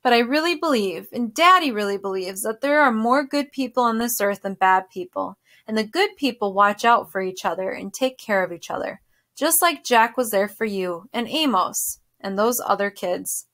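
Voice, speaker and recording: A woman reader voicing a caring mother who softly reassures her son. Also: medium pitch, lively intonation, moderate pace. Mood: reassuring.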